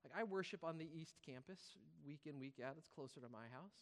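A man's voice: a little high, brisk. A man preaching a conversational, informal sermon as a pastor, repeating key phrases for emphasis. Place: large reverberant room, preaching live to congregation. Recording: hall reverb, live preaching.

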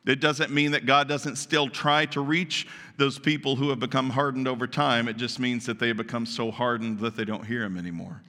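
A man preaching a sermon to his congregation, naturally loud and emphatic, often asking them questions. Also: mid-range voice, steady tone, brisk, hardly any pauses. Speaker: pastor. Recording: long reverb of a large hall.

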